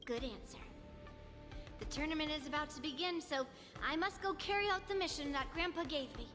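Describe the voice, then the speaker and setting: Confident girl warrior, high voice, formal and self-assured, anime game, long reverb, music underneath. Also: brisk, sharp tone. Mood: calm, encouraging. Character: young, confident, commanding girl warrior on a mission. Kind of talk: scripted anime game voice acting.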